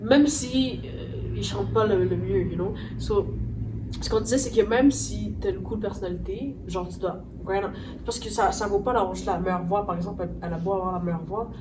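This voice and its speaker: A young woman speaking in an easy, chatty way, with English words sprinkled through her sentences. Her voice sits at an ordinary pitch. She sounds set back from the mic, with clear background noise. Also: normal speed. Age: young adult. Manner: mixes English words into French. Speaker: podcast co-host. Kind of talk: casual round-table chat. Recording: distant mic with steady noise.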